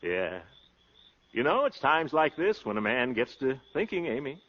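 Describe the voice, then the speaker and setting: An actor playing a brash, boastful young suitor in a 1930s radio comedy, talking in pompous, florid slang. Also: male voice, medium pitch, very animated, a little slow. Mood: glib. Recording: dull, lo-fi 1930s radio recording.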